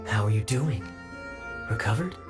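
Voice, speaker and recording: A man's voice: fairly low pitch, expressive, normal speed, natural voice. A man voicing a suave, easygoing young man in an anime fantasy game, with a wry, teasing delivery. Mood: amused, obliging. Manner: wry, laid-back. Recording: soft music behind the voice.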